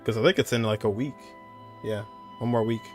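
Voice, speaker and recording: A man's voice: medium pitch, fairly even intonation, fairly quick, a little mellow, faint music behind. A man streaming a video game, giving casual, laid-back live commentary in short muttered reactions.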